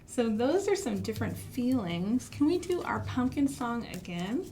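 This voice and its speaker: An American woman hosting an interactive storytime for young children, asking questions and speaking in an expressive, encouraging way. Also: on the high side, a little slow. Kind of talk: interactive children's storytime with songs and finger rhymes. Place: live online stream for a library.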